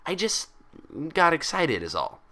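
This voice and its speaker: A man's voice: a little high, wildly swooping pitch, measured pace, pushed and bright, pitched above the performer's own voice, exaggerated voice. A man voicing an earnest, easily exasperated young female cartoon pony with an urgent, dry, defensive way of talking. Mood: urgent, flustered.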